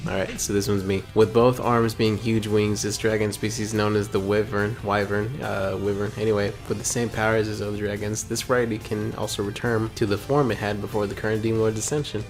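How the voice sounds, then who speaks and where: A man's voice: lowish voice, level tone, brisk, hardly any pauses. A man co-hosting a casual, informal show, chatting and reading aloud with commentary. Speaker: co-host. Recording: loud guitar music behind. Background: guitar music.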